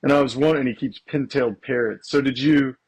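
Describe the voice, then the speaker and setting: Husky-voiced male podcast co-host. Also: mid-range voice, steady tone, fast, darkish tone. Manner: chatty, asks questions, frequent restarts. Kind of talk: casual chat.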